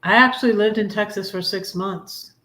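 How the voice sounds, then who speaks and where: American man, high-pitched bright voice, casual conversational delivery. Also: moderate pace. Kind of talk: casual group chat.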